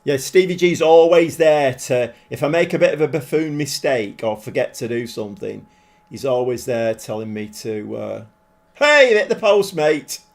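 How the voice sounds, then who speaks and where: A man live-streaming a football management game, chatting casually with viewers, self-deprecating and quick to get excited. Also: mid-range voice, vocal fry.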